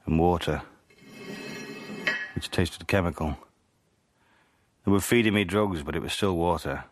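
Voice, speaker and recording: An actor playing a weary, wry captive spy, narrating in an understated, deadpan way for a radio drama. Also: male voice, deep voice, a little slow, background noise. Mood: bleak, detached. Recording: radio drama with faint music.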